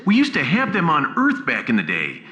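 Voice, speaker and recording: A man playing an excitable but wary human spaceship captain in a sci-fi audio drama, animated and exclamatory. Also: a little high, brisk, dull audio, pitched above the performer's own voice, heavily acted. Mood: wistful. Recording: echoey reverb with faint noise.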